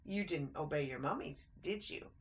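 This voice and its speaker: A woman's voice: low voice, animated, moderate pace, pitched below the performer's own voice. A woman voicing a mean male sewer rat who leads a gang, speaking in a sly, taunting way in a children's story. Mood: mocking.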